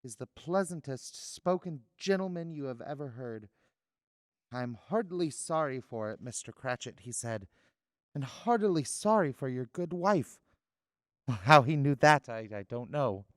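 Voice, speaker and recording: A man's voice: mid-range voice, lively intonation, measured pace, dry recording, studio quality, close to an everyday voice. A man playing a kindly, tender, grieving father in a radio-style drama. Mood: wistful warmth.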